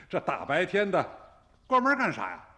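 Formal police captain, fairly high voice. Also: male voice, wildly swooping pitch, sharp tone, slight background noise, full character voice. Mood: polite, probing. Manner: measured, formal, insistent. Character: composed, official police investigation captain. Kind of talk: radio drama dialogue.

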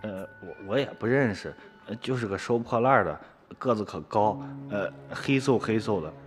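A man's voice: on the low side, normal speed, long reverb tail, soft background music, natural voice. Stammering, nervous young village man played by an actor. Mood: sheepish. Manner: stammering, hesitant, flustered. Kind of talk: Chinese radio drama acting.

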